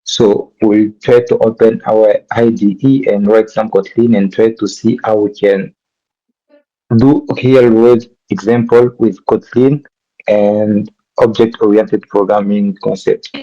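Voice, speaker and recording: Mellow-voiced man with a French accent. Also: lowish voice, flat delivery, muffled recording. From DR Congo. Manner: halting, often restarts and corrects himself mid-sentence. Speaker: workshop instructor. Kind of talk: live coding tutorial.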